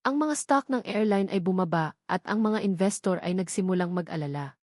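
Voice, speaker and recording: A woman's voice: lowish voice, animated, fairly quick, a little mellow, dry recording, studio quality. A woman with a Filipino accent reading a scripted romance story as a dramatic narrator.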